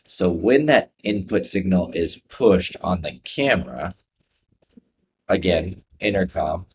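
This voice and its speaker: A man presents a software tutorial, explaining each step in an informal way. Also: deep voice, lively intonation, slow pace.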